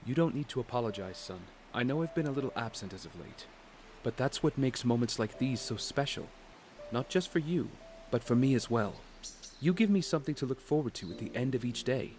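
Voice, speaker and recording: An actor playing a loving, proud father in an audio drama, speaking gently and reassuringly with affection. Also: medium pitch, animated, brisk, slight background noise, close to an everyday voice. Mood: tender, reassuring.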